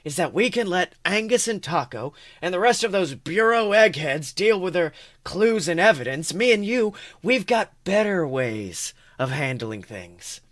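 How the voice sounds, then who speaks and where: A man's voice: high-pitched, very animated, a little slow, few pauses, a bit bright, no room sound, clean studio sound, exaggerated voice. A man voicing a grumbling, roguish dwarf cleric in a fan fiction reading, blunt and emphatic as he schemes. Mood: scheming, persuasive.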